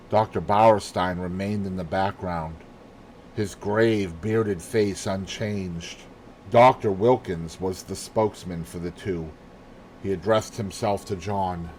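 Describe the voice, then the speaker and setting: A man's voice: sits low, a little mellow, slight background noise, rough recording. A man reading a novel aloud in a theatrical storytelling style, acting out the dialogue. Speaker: amateur audiobook reader. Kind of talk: dramatic novel read-aloud.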